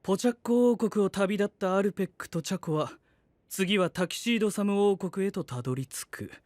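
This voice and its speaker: An actor playing a cynical, analytical young knight who talks in stiff deadpan phrases, in an anime audio drama. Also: male voice, high-pitched, a little fast, no room sound, close to an everyday voice. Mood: detached. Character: coolly logical, cynical young fantasy knight, scientific observer.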